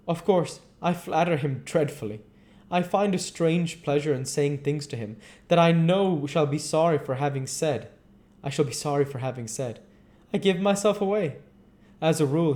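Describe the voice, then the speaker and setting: A man's voice: fairly high pitch, darkish tone, lightly acted. A man voicing an ardent, anguished painter in a dramatic storybook reading, earnest and confessional. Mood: wistful.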